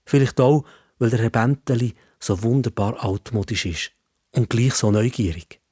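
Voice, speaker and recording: A man's voice: medium pitch, normal speed, darkish tone, breathy edge, no echo, studio quality. A man with a Swiss German accent narrating a scripted story for children.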